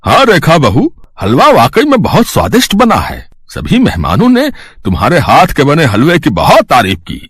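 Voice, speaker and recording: An actor playing a middle-aged family man with a husky voice in a storybook tale. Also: on the high side, huge pitch swings, moderate pace, no echo, full character voice. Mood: approving.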